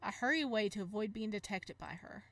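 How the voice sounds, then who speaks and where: A woman hosting a gaming video, moving between expressive story narration and casual, animated commentary. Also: medium pitch, fast. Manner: animated, exclamatory asides. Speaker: gaming video host reading a visual novel.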